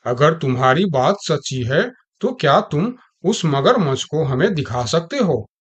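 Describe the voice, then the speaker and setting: A man's voice: fairly high pitch, sing-song, unhurried, husky, full character voice. A man voicing a proud but skeptical and gullible male jungle animal in a cartoon story. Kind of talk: Hindi cartoon story dialogue.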